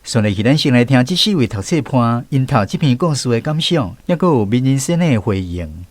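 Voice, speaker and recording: A Taiwanese-accented man with a mid-pitched voice narrates a radio drama from a script. He sounds like a programme host, with music playing behind him. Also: lively intonation, brisk, no echo.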